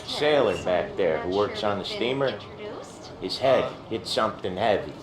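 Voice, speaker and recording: An actor playing a wry, streetwise gangster accomplice with an American accent in a cinematic game. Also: male voice, fairly low pitch, expressive, moderate pace, close to an everyday voice. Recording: roomy sound under loud music.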